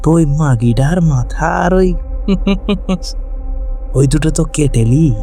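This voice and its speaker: A man's voice: fairly high pitch, drawn-out words, dark tone, soft background music. A man acting a ruthless, scheming occult villain in a gloating, menacing manner for an audio horror drama.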